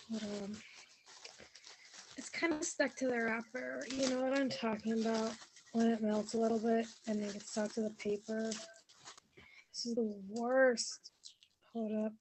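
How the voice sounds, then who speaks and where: A teenage girl playing a distracted army commander in a school radio play, offhand and fussy, clearing her throat between orders. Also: fairly high pitch, a little mellow, a little hiss or hum, poor audio. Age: teens.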